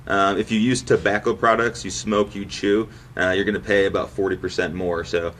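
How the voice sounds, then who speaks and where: A man's voice: sits low, little pitch movement, normal speed, a bit bright, far from the mic, slight background noise. A man in his thirties speaking as an interview guest, explaining things in a casual, informal way like an insurance agent. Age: 30s.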